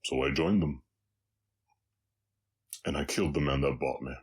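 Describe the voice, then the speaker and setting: A man voicing a brooding, remorseful orc cowboy outlaw with a slight Southern US accent, speaking haltingly and somberly in an intimate confessional roleplay. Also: extremely low pitch, breathy edge, full character voice. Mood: bitter, dark. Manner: halting, somber, long pauses. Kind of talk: intimate scripted roleplay audio, confessional storytelling.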